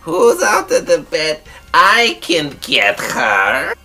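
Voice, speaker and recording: A woman's voice: sits low, huge pitch swings, slow, sharp tone, soft background music, heavily acted. A woman voicing a grumpy, bossy male monster with a growly, hissing voice for a children's storybook. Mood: menacing.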